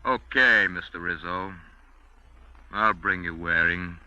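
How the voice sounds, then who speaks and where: A low-voiced, guarded hired killer. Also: male voice, slow pace. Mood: cool, wary. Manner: terse, understated, guarded. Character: wary, cool male hired killer. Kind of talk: 1940s-1950s radio crime acting. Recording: dull, very noisy vintage radio recording.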